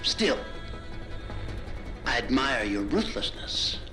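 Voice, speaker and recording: A ruthless game villain, a military commander with a mid-pitched voice. He is controlled, self-assured and taunting, in clipped phrases with music clearly behind him. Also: big pitch swings, slow pace. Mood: cold menace. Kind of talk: video game dramatic acting.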